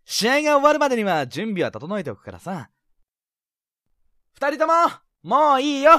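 A man playing a sly, teasing high school senior in a Japanese romantic-comedy voice drama, smooth-talking and confident. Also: wildly swooping pitch, normal speed, a bit bright, dry recording, studio quality, full character voice. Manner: smooth-talking, playful, insinuating.

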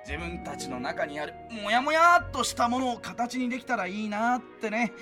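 A woman playing an eager, eccentric high-school boy with a theatrical, glib manner in an anime-style comedy voice drama. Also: sing-song, moderate pace, bright, forceful tone, soft background music. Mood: earnest, persuasive. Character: eager, eccentric teenage schoolboy club founder.

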